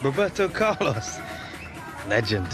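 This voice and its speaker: An eager young British footballer, swooping pitch. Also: male voice, sing-song, takes it slowly. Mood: dreamy. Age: young adult. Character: eager, confident young male professional footballer. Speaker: video game character, footballer. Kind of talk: cinematic game dialogue. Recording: loud music over the dialogue.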